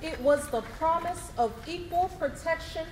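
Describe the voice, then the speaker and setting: A woman's voice: very high-pitched, normal speed. A woman performing in readers theatre, reciting scripted lines in an oratorical style. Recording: echoey room, faint ambient noise.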